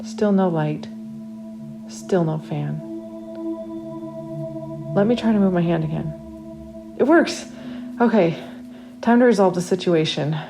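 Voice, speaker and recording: A woman reading a first-person horror story as a trapped accident victim, in a dramatic, confiding inner monologue. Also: on the low side. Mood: uneasy, searching. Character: trapped narrator waking after accident, wry then panicked. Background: original music.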